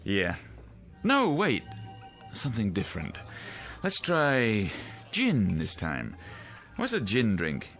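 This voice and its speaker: Wry, urbane reporter at a bar: radio drama, unhurried, swooping intonation, faint music. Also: male voice, on the low side, huge pitch swings, a bit bright, full character voice. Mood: wry musing. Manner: wry, theatrical, leisurely phrasing. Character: urbane, musing newspaperman drinking at a bar.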